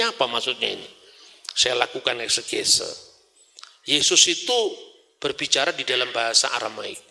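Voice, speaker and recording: Male Bible teacher, Javanese accent, slightly high and very bright voice, emphatic and lively. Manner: lively, emphatic, chatty asides. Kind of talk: teaching talk, rhetorical questions.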